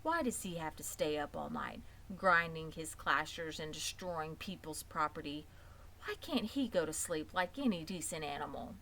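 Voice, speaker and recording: A woman's voice: deep voice, expressive, moderate pace, pushed and bright, slight background noise, heavily acted, rough recording. A woman voicing an earnest, naive young male pig with polite, formal phrasing, in a children's storybook reading. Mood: grumbling.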